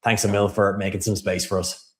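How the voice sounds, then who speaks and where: A man's voice: lowish voice, little pitch movement, fairly quick. A man hosting a podcast interview, effusive and full of praise, often stammering and repeating words. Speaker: podcast host.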